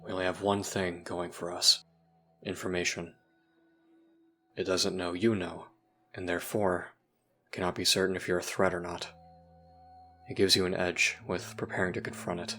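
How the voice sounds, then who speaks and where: A man's voice: low-pitched, little pitch movement, moderate pace, pauses often, sharp tone, a little hiss or hum. A man acting in an audio roleplay as a jaded, sardonic human youth trapped in a time loop, talking conspiratorially and swearing casually. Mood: serious, conspiratorial.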